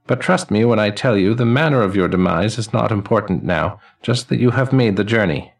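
Man voicing an old otherworldly judge, high chiming voice, serene and formal, slightly off mic. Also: expressive, gravelly, far from the mic. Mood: soothing. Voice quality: chiming, bell-like voice. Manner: gentle, formal, unhurried pronouncements. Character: ancient male judge of the dead, calm and pleased.

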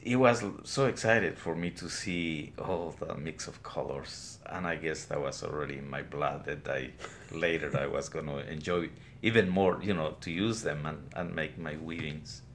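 An older man with a Guatemalan Spanish accent recounts his life as a weaver in an interview, speaking plainly and haltingly in his studio. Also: rumbling low, fairly bright tone, a little hiss or hum. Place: weaving studio, indoors.

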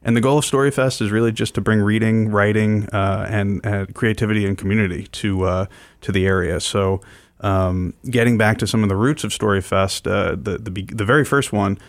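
Male radio co-host, lower register, close dry sound. Enthusiastic, informal explainer who pauses and repeats words. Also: fairly even intonation, no room sound. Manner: hesitant, frequently pausing and repeating words. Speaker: radio co-host and festival programmer. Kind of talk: informal radio program talk, explaining events.

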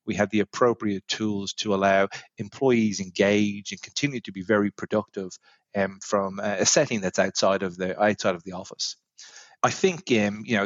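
Irish man talking shop, measured. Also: fairly low pitch, no room sound, muffled recording. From Ireland. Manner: measured, thoughtful, frequent fillers. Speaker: technology executive guest. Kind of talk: corporate podcast interview.

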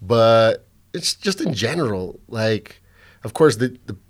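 A man's voice: fairly low pitch, big pitch swings, takes it slowly. A middle-aged man from the American Southwest hosting a podcast, talking loosely and profanely in casual chat, a little drunk and hungover. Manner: loose, profane, rambling storytelling. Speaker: podcast host.

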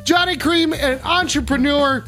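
A man hosting a comedy podcast, loud and brash, cursing as he reins in the callers. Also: very high-pitched, measured pace, soft background music. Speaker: podcast host.

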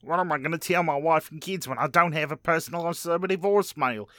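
An earnest, uncool family man played by a man speaks in a spoof radio ad. His voice is fairly high and sharp, with no room sound at all. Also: fast talker, no echo, clean studio sound, close to an everyday voice. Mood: dejected. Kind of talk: spoof radio commercial acting.

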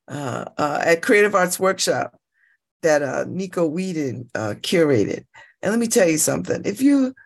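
A woman in her late fifties hosting a morning radio show, chatting casually and exuberantly, laughing often and repeating herself as she talks. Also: fairly low pitch. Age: 50s. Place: radio studio.

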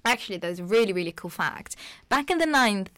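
A young woman from Tunisia, an Arabic speaker, chatting as a podcast guest in a lively way, laughing often and using lots of fillers. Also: mid-range voice, brisk, no room sound. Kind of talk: casual podcast chat.

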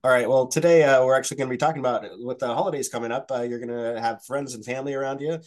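Fast-talking male podcast co-host. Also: medium pitch, fairly even intonation. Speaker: regular co-host. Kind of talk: casual conversational chat.

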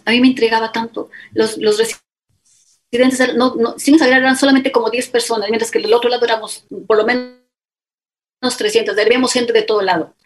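A middle-aged woman with an Ecuadorian Spanish accent, a guest telling her story in a casual interview. She talks in an animated way and acts out other people's lines as dialogue. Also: medium pitch, low-quality recording. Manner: animated, quotes others in dialogue, many fillers.

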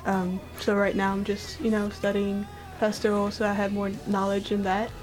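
A woman's voice: mid-range voice, completely flat delivery, moderate pace, a little mellow, faint music behind. A nineteen-year-old woman, a pastoral studies student, talking casually with many fillers. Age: teens. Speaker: college student guest. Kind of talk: casual chat.

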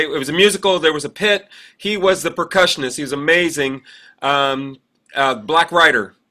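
A man's voice: fairly high pitch, sharp tone. A man co-hosting a podcast, chatting casually in a rambling, anecdotal way. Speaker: podcast co-host. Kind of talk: casual chat.

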